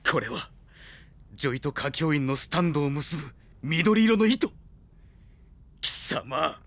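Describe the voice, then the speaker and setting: An actor playing a tough, coolly defiant teenage boy in an audio drama, gruff and curt. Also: a little high, expressive, a little slow, fairly bright tone, exaggerated voice. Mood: grim. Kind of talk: theatrical Japanese audio drama acting.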